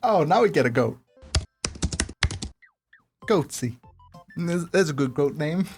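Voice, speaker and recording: Female gaming streamer, very lively intonation, unhurried, playful and sweary, casual commentary. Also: sits low, soft background music.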